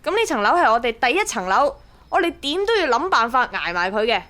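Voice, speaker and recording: Pushy, nagging young woman, highish voice. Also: very animated, fairly quick, bright, forceful tone, exaggerated voice. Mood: coaxing. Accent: Hong Kong. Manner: nagging, emphatic. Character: pushy, scheming, nagging young woman, fiercely money-driven. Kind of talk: Cantonese radio drama acting.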